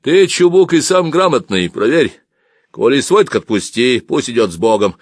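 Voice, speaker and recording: A man's voice: mid-range voice, expressive, normal speed, husky, dry recording, studio quality, voice pushed up. A man voicing a stern, impatient cavalry commander with a curt, commanding manner in an audiobook.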